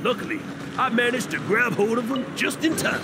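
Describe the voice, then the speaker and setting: A man's voice: very high-pitched, animated, heavy background noise. An actor playing a boastful middle-aged adventurer in a video game, telling his exploits in a grandiose, theatrical way. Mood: dramatic. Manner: grandiose, theatrical storytelling with dramatic pauses. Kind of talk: English video game voice acting. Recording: loud background music under dialogue.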